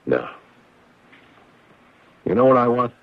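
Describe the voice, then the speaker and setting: An actor playing a wry, disillusioned former executive who turned healer, speaking deliberately in a radio drama. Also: male voice, mid-range voice, big pitch swings, no echo. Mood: quietly resolute. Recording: dull, dry old radio broadcast.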